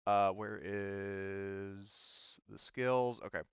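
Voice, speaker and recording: A man acting as game master, casually explaining rules and thinking aloud in a halting way. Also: lowish voice, steady tone, clear, resonant tone, no echo, muffled recording. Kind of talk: casual tabletop game narration and rules talk.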